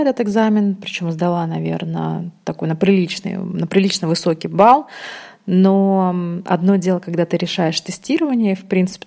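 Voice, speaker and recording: Low, gravelly-voiced woman podcaster. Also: mellow. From Uzbekistan. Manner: thoughtful, self-correcting, many ums. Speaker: podcast host. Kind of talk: casual podcast monologue.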